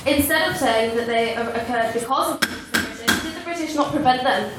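A woman's voice: high voice, fairly quick. A woman closing a debate speech with a string of rhetorical questions, argumentative and formal. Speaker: debate speaker. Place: large echoey debating hall. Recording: echoey hall, distant mic, noisy.

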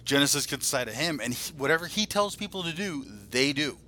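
A man's voice: on the high side, expressive, fast talker, bright, forceful tone. A man in his forties co-hosting a podcast, ranting casually in a blunt, profane, irreverent way. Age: 40s. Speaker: podcast co-host.

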